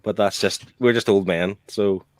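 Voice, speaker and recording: Male podcast host, lowish register, very dry sound, questioning and insistent in casual discussion. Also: steady tone, moderate pace, no echo. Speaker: podcast book club host. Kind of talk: casual comic book discussion.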